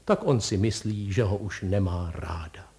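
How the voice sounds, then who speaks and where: A man playing a hollow-voiced, funereal gentleman. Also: low-pitched, creaky, voice pushed down. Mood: mournful. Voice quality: hollow, sepulchral. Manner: slow, mournful, formal.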